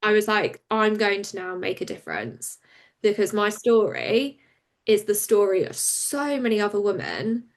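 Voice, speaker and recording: A woman, a campaigner and interview guest, speaking frankly and openly in a relaxed conversation. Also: mid-range voice. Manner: open and frank, quick and animated when recounting.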